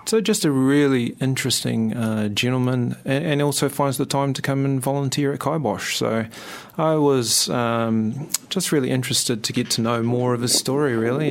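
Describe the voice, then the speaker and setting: A man's voice: medium pitch, gravelly. A man hosting a radio talk show, chatty and full of fillers. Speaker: radio host. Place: radio studio.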